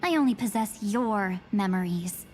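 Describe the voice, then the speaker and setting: A woman voicing an enigmatic young female memory spirit in a video game, coaxing and softly menacing, with slow, breathy delivery. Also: medium pitch, expressive, a little hiss or hum, natural voice. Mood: somber. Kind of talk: scripted video game dialogue.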